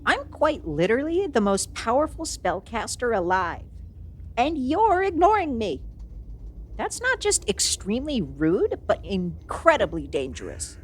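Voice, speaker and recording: An imperious villainous spellcaster queen from a fantasy audio drama, played by a woman. The voice is high and swings dramatically up and down, quick to take offence and full of melodramatic indignation. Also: very animated, husky, exaggerated voice. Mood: haughty, threatening. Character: haughty, easily affronted young female evil sorceress queen. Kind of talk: ASMR audio roleplay acting.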